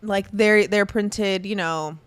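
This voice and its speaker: A woman's voice: medium pitch, fairly even intonation, takes it slowly, clean tone, dry recording. A young woman co-hosting a casual podcast, chatting loosely with lots of fillers and laughs. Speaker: podcast co-host. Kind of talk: casual chat.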